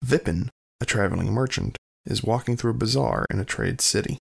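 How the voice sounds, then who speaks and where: A man's voice: mid-range voice, brisk, vocal fry, husky. A man reading scripted narration as the scene announcer of an audio drama.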